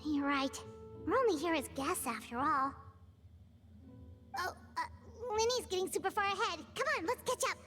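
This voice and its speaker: A small, naive flying companion from a fantasy game. The voice is extremely high and squeaky, bouncing around in pitch. It chatters cheerfully like a child, over faint music. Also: female voice, expressive, normal speed, pauses often. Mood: musing. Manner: squeaky, refers to itself in third person. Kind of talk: video game voice acting.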